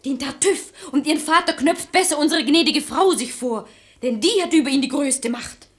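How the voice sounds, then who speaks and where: Saucy servant girl for classic radio comedy in verse, female actor, high-pitched, sharp-witted, pert. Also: normal speed, lightly acted. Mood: bossy scheming. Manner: pert, mocking, theatrical. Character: saucy, outspoken, quick-witted maidservant. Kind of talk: German radio play acting in rhymed verse.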